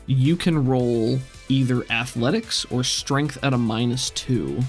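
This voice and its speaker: A man runs a tabletop roleplaying game as game master. He describes things vividly in a mid-pitched voice and slips into casual asides, with music playing underneath. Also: lively intonation, measured pace.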